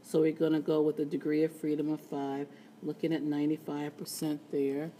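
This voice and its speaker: A woman teaching a statistics lesson in a screencast, with a raspy voice and playful, chatty asides. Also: low-pitched, flat delivery, a little fast, mellow, a little hiss or hum, rough recording. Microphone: tablet screen recording.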